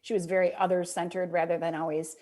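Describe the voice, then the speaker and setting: A woman's voice: fairly low pitch, flat delivery, quick pace, a little mellow. Woman with a Michigan accent. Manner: reflective, storytelling, easy laughs. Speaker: guest author. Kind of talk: conversational interview.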